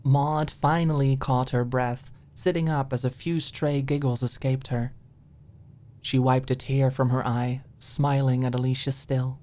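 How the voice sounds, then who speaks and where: A woman narrating a story as a scripted audiobook, in a soft, expressive storytelling manner. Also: extremely low pitch, a little slow.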